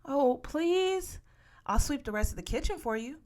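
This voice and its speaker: A woman's voice: high voice, expressive, measured pace, a little mellow, voice pushed up. Woman as a sulky, stubborn young girl. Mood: pleading.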